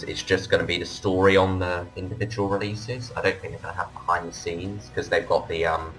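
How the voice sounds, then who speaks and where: A man's voice: low-pitched, fairly bright tone, rough recording. A man hosting a casual fan discussion, with hesitant, hedging phrasing. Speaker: podcast host. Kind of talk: casual fan discussion of audio drama releases.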